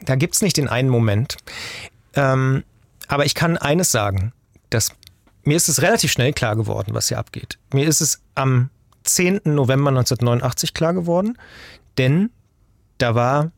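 A man's voice: mid-range voice, lively intonation, moderate pace, gravelly, no echo, clean studio sound. A German journalist in his forties from the east of the country, talking thoughtfully as an interview guest in long, restarting sentences. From eastern Germany. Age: 40s. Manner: thoughtful, talks in long chains with restarts and tag questions. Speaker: journalist and podcast maker. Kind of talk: interview guest, reflective conversational talk.

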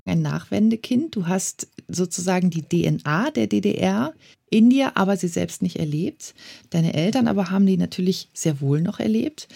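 A woman interviewing a guest, laying out background calmly before she asks her questions. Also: mid-range voice, creaky, husky, no echo, clean studio sound. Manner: measured, lays out background before asking.

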